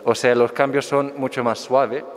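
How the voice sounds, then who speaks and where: Man lecturing, mid pitch, halting with fillers, long hall reverb. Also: level tone. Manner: hesitant, many fillers and restarts. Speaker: lecturer answering audience questions. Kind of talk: academic talk. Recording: echoey hall.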